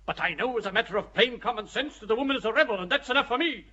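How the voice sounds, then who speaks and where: An actor playing a blunt, bigoted English clerk in a radio play, speaking indignantly and self-righteously. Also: male voice, extremely high pitch, expressive, racing, pushed and bright, muffled recording, heavily acted.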